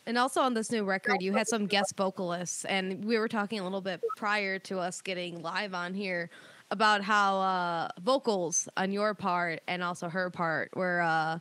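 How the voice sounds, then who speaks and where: A woman's voice: medium pitch, fairly even intonation, moderate pace, fairly bright tone. A woman with a mid-range voice hosts a podcast and puts a question to a guest. She sounds casual and playful. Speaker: podcast host. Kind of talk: interview question.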